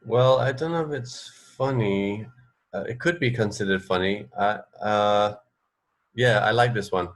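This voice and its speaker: A man with a deep, low voice chats as a radio co-host. His delivery is unscripted and easygoing, and he explains things casually as he goes. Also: measured pace.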